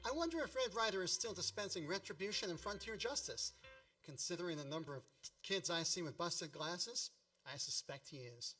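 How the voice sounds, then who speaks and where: A man's voice: high voice, animated, brisk, pushed and bright, far from the mic, faint music behind. An actor playing a grown man who narrates his boyhood memories in a radio play, wry, nostalgic and grandiloquent.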